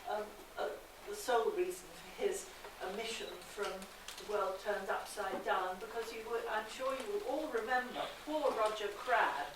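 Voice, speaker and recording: A woman academic making a deliberate, formal comment from the audience at a conference, with pauses. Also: medium pitch, steady tone. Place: conference room, indoors. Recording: very echoey distant room, clear noise.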